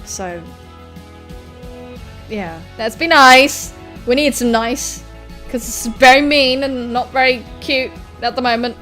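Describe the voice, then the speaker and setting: A woman with a fairly high voice hosts a visual novel read-through. She moves between narration and playful, irreverent casual commentary, expressively delivered, with game music behind her. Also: takes it slowly, fairly bright tone. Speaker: gaming video host.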